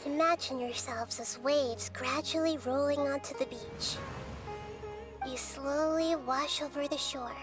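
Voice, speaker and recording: A woman voicing a gentle, wise little girl deity in a video game, speaking in a calm, measured, formal way. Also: high voice. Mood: soothing. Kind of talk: scripted video game voice acting. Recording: music playing under the voice.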